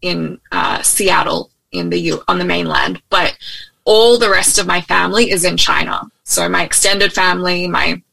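A young woman from Australia speaks with an Australian accent that carries an American twang. Her voice is bright and has a gravelly fry at the edges. She chats openly, with the odd um and restart, and the sound is dry and close. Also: lowish voice, normal speed, no echo, poor audio. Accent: Australian with American tinge. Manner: open, friendly, with frequent ums and restarts. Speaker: guest. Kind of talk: casual interview conversation. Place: at home in Hawaii, remote call.

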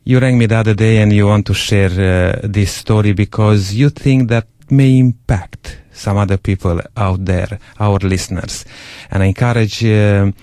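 Slow, deep-voiced male radio host. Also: steady tone, dark tone, no room sound. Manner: slow, measured, encouraging, frequent pauses with "uh".

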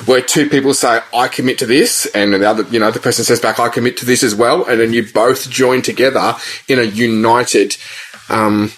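Husky man co-hosting a radio talk show. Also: medium pitch, steady tone, moderate pace. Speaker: radio co-host. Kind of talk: conversational radio discussion.

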